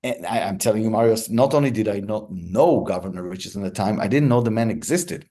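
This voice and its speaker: A man in his forties from Israel, answering interview questions in a relaxed storytelling way with frequent ums and restarts. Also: fairly low pitch, fast talker. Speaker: interview guest, negotiator.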